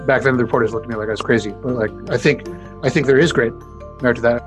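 A man with a deep voice, a scientist explaining his research in an interview in a casual way, with many fillers and restarts. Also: steady tone, very fast, soft background music.

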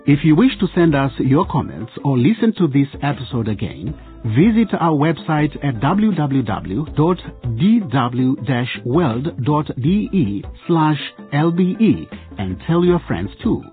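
Animated male radio narrator. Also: a little high, unhurried, narrow, tinny audio, faint music behind. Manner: storytelling, addressing listeners directly. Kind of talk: scripted radio drama narration.

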